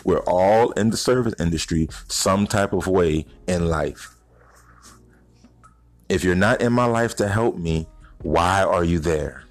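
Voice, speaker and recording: A man's voice: deep voice, measured pace, soft background music. A man in his thirties hosting an informal motivational podcast, speaking straight to listeners in slangy language with rhetorical questions. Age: 30s. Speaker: podcast host.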